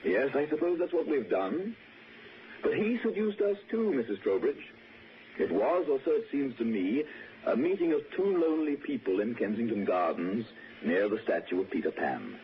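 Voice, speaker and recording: An actor playing a gentle, wistful, courteous lord in 1960s radio drama, speaking with hesitant, softly persuasive politeness. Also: male voice, medium pitch, big pitch swings, moderate pace, lightly acted. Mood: earnest, probing. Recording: dull, noisy 1960s radio recording.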